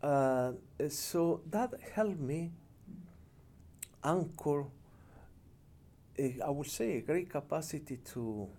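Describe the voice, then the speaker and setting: Mellow middle-aged man with Ecuadorian Spanish accent. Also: a little high, lively intonation, takes it slowly, long pauses. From Cuenca, Ecuador. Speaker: international development professional, interviewee. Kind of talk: reflective interview answers.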